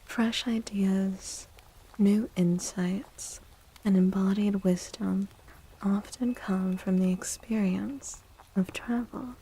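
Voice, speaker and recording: A woman speaking softly and soothingly in a whispery, breathy voice, as an ASMR livestream host. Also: medium pitch, steady tone, a little hiss or hum, poor audio. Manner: gentle, soothing, unhurried. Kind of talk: soft-spoken ASMR, tarot reading and chatting with viewers.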